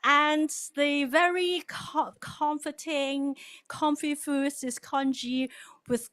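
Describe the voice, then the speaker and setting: A woman's voice: sits high, steady tone, takes it slowly, a bit bright. Chatty woman. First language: Cantonese. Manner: chatty, laughs often, leads the crowd in repeating words. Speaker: guest speaker at a worship service. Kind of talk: casual cultural talk with call-and-response.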